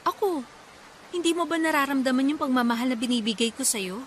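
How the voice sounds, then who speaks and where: A woman's voice: high voice, a little fast, slight background noise, lightly acted. An actress playing a frightened, devoted young woman in a Filipino radio drama, speaking with earnest emotion. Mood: earnest, tender. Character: frightened, devoted young woman confessing love amid a storm.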